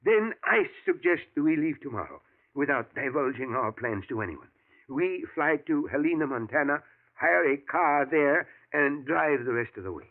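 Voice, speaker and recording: An actor playing an elderly male professor, a thoughtful scientist who talks in a measured way and chuckles often, in a 1940s radio drama. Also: fairly high pitch, animated. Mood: confiding. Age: older. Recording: tinny lo-fi old radio broadcast.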